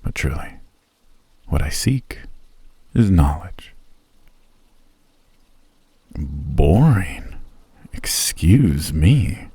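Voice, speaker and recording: Brief: male VA as a mysterious elf recluse, very deep husky voice, genteel and teasing. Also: very animated, very slow, pauses often, no echo, full character voice. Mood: scholarly. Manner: genteel, teasing, softly menacing. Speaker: voice actor. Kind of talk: ASMR roleplay.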